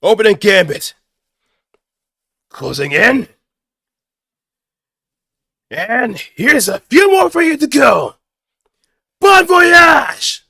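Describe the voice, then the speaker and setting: Man acting a cocky, animated anime mecha pilot. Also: extremely high pitch, extremely slow, vocal fry, long pauses, breathy edge, no room sound, studio quality, pitched above the performer's own voice, exaggerated voice. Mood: battle-cry shouting. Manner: theatrical, punchy. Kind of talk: anime robot game battle lines.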